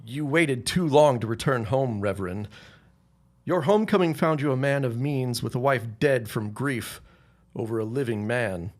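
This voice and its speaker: A man playing a defiant, contemptuous grown son in a period audio drama, with a formal, measured and cutting delivery. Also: mid-range voice, a little mellow, natural voice. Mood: defensive.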